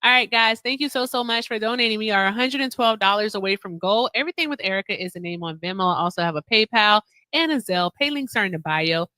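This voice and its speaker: Bright-voiced woman hosting a livestream. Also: medium pitch, fast, hardly any pauses, no echo. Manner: teasing, blunt, pushes guests for answers. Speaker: livestream host. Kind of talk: casual live-stream chat.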